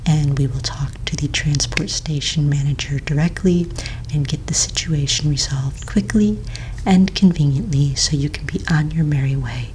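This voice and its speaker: Soft, whispery low-voiced woman, ASMR roleplay. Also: moderate pace, slight background noise, poor audio. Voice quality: soft, breathy, mostly whispered. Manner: gentle, polite, reassuring clerical patter. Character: courteous, patient female office manager registering pets. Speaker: ASMR roleplayer playing an office manager.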